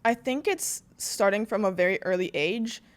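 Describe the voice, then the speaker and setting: A woman's voice: medium pitch, moderate pace. Trilingual woman chatting casually, informal explainer. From Bulgaria and Czech Republic. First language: Polish and Spanish. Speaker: podcast guest. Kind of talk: casual conversational interview.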